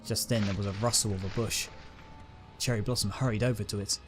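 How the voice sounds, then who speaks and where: A man's voice: fairly low pitch, steady tone, a little fast, over music. A man narrating a dramatic scripted story as its reader.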